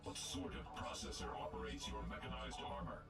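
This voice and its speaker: A man's voice: a bass voice, very animated, brisk, creaky, full character voice. A man voicing a cold, genocidal game robot with stiff, formal, menacing speech and metallic processing. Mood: cold, calculating. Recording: game audio, echoing, loud music behind. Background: loud game music.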